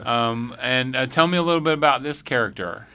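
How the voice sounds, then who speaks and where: A man's voice: medium pitch, a little fast, pushed and bright, clear, resonant tone. A man hosting a radio show, chatting casually with guests and asking questions in a live studio interview.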